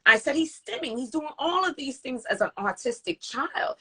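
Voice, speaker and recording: Woman, bright forceful voice, husky edge, earnest emphatic storytelling, live video call interview host. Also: high-pitched, moderate pace. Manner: earnest, animated storytelling with emphatic quoted speech. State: recovering from voice loss. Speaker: interview host and parent advocate. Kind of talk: casual live-stream interview.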